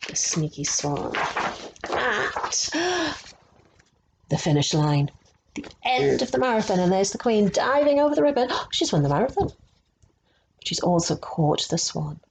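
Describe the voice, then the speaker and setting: A woman's voice: mid-range voice, gravelly, a little hiss or hum, poor audio. A woman storyteller reading a picture book aloud to children, adding explanatory asides and putting questions to her young listeners. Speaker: resident story teller.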